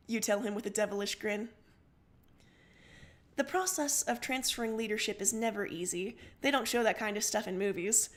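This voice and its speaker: A woman narrating second-person fiction expressively as a livestream story reader. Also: on the high side.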